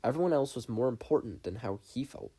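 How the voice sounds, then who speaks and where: A story narrator reading scripted fan fiction. The voice is soft and mellow, sitting at a middle pitch with little brightness. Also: animated, fairly quick, husky.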